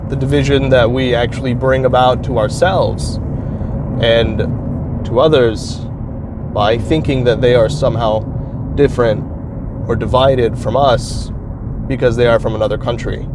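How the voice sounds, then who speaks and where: A man from Turkey hosting his own channel, talking through an idea in a slow, reflective monologue with frequent pauses and restarts. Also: medium pitch, steady tone. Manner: halting, thoughtful, frequent restarts. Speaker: channel host. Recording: clear traffic noise outdoors.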